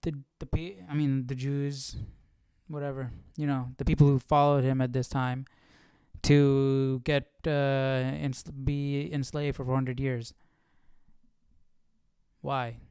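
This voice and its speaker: Male livestream host, smooth voice a bit high, informal and questioning, close dry sound, casual study commentary. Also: little pitch movement, slow, dark tone, no room sound. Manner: informal, questioning, thinking aloud. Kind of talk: casual Bible study commentary, reading aloud and reflecting.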